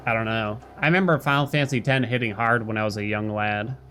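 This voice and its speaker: A man's voice: on the low side, steady tone, quick pace, bright, forceful tone. A man in his thirties giving casual, slangy live commentary while streaming a video game. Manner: informal, slangy, swearing. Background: game music under the voice.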